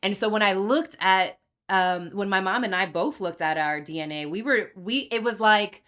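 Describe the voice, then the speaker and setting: A young Dominican-born woman, a podcast guest, telling her story in a candid, animated way and acting out the voices she quotes. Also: mid-range voice, moderate pace. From Dominican Republic. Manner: animated, acts out quoted voices, laughs easily.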